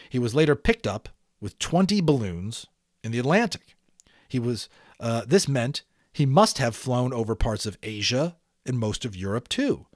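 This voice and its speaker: A man's voice: mid-range voice, dry recording, studio quality. A man narrating a children's audiobook expressively, with dramatic emphasis and chatty asides to listeners.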